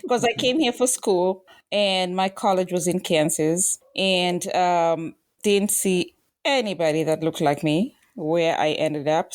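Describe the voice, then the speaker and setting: Woman from Kenya, forties, low voice, reflective, halting, dry close sound. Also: darkish tone, dry recording. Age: 40s. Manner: reflective, halting with fillers and restarts. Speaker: guest. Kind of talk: casual interview talk.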